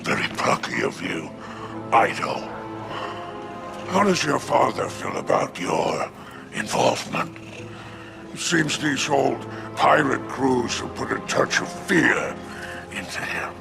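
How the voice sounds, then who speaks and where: Gravelly, swooping alien crime lord. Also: male voice, mid-range voice, very animated, slow pace, long pauses, music in the background, heavily acted. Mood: sardonic, guarded. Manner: florid, drawling, sardonic. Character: sly, pompous alien crime-lord spider, theatrical and scheming. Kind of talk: video game cutscene acting.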